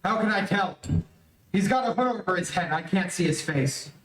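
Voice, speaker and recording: High-voiced plucky hero played by a man. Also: lively intonation, moderate pace, fairly bright tone, slight background noise. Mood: defiant. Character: young heroic earthman, plucky and earnest adventurer. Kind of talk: 1930s radio serial acting. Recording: echoey room.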